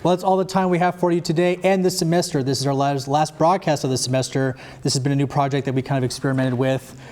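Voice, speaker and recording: A young American man anchors a student satirical newscast. His voice sits a little high for a man as he reads in a newscast style. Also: fairly even intonation, fast talker, darkish tone. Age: young adult.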